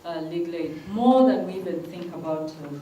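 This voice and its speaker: Woman at a conference, insistent, low voice, mellow tone, distant mic in an echoey room. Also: moderate pace, slight background noise. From Uganda. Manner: earnest, insistent, repeats "I want us to think". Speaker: legal aid program worker, panel participant. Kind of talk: conference floor remarks.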